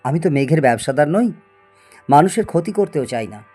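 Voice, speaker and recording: A man's voice: a little high, sing-song, a little fast, warm tone, faint music behind. A man acting a proud, obsessive middle-aged scientist in a Bengali audio story, theatrical and prone to stammer when agitated. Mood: boastful, assured. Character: proud obsessive male scientist, boastful and stubborn.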